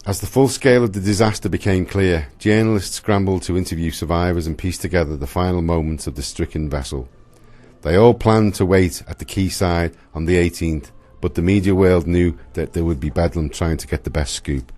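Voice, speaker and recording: A man narrating a radio drama from a script in a solemn, measured manner. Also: low voice, warm tone, no echo.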